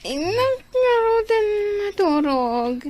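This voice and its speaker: Casting: sleepy tipsy girl friend, Ilocano accent, high register, swooping tone, radio drama. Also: very animated, slow pace, a little mellow, heavily acted. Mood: whiny, drowsy. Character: young female party guest, drowsy and tipsy.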